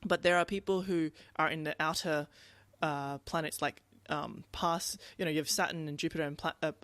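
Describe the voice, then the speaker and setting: A woman co-hosting a podcast, chatting casually about a book in an animated, rambling way and acting out reactions. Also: deep voice, normal speed, dry recording. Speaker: podcast co-host.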